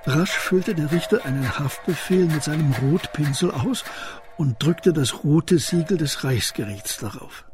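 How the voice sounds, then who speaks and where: A man's voice: fairly high pitch, expressive, unhurried, music in the background. A man narrating a scripted crime story for a radio drama.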